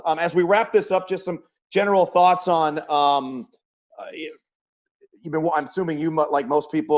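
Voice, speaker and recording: A middle-aged American man hosting a conversational sports interview. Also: on the high side. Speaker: sports interview host, play-by-play announcer.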